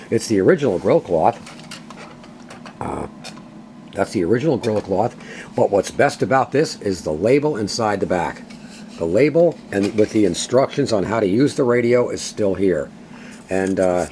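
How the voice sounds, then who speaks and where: A man's voice: lowish voice, slight background noise. An older man, a longtime radio collector, talking casually with many hesitations as he shows an antique radio at home. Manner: relaxed, folksy, many uhs. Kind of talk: casual show-and-tell.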